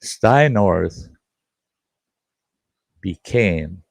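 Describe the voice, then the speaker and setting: An older man hosting a casual live stream, hoarse today, talking in a halting, rambling way with many fillers. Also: low-pitched, expressive, no room sound, studio quality. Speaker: livestream host.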